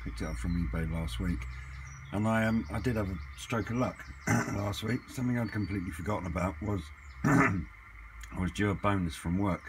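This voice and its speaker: A man's voice: fairly low pitch, normal speed. A man chatting casually and off the cuff, hesitant as he thinks aloud in a hobby vlog. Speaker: hobbyist vlogger. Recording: clearly noisy amateur camera recording.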